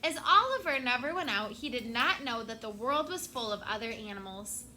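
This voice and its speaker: A woman teacher reads a picture book aloud to her class in an expressive voice, pausing to prompt the children. Her tone is bright and sharp, pitched a little high. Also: slight background noise.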